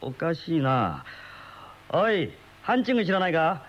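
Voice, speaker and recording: An actor playing an uneasy middle-aged husband in a radio mystery, with brooding, dramatic storytelling. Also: male voice, fairly high pitch, wildly swooping pitch, normal speed. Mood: brooding, dreamy. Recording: muffled old radio drama recording, music behind.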